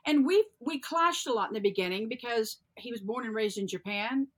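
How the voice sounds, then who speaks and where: A woman's voice: fairly high pitch, fairly quick, fairly bright tone. A middle-aged woman, co-hosting a podcast and chatting thoughtfully. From American South. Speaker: podcast co-host. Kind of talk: casual chat.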